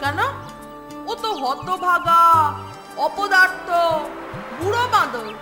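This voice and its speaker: A woman's voice: very high voice, lively intonation, slow pace, full character voice. A woman voicing a cheeky young village boy who teases and exclaims in a cartoon story. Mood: proud. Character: cheeky, lazy young village boy, fond of his monkey. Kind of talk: Bengali cartoon story acting. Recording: echoey, music behind.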